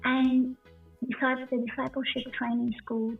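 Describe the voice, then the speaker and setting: Female interview guest from Bulgaria, hesitant, husky and even in tone, muffled distant audio with faint music. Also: high voice. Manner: halting, hesitant, repeats words. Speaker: guest sharing her faith testimony. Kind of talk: personal testimony in an interview.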